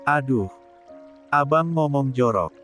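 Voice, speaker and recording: A man's voice: mid-range voice, lively intonation, slow, a bit bright. A man voicing a shy but blunt and jealous young village wife in an audiobook story, talking curtly and colloquially. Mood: embarrassed. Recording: music bed behind the voice.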